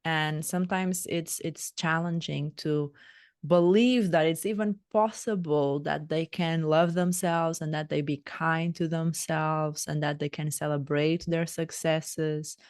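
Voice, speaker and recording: Low-voiced Portuguese woman hosting. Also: fairly even intonation, a little slow, darkish tone, smooth, no echo, studio quality. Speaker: podcast host. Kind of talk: reflective conversational interviewing.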